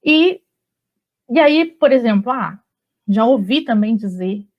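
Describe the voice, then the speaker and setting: A woman with a Brazilian Portuguese accent teaching a grammar lesson in a relaxed, chatty way, often checking in with her students. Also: fairly high pitch, takes it slowly. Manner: relaxed and chatty, with frequent tag questions. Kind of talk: conversational online grammar lesson. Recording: slightly dull lo-fi audio.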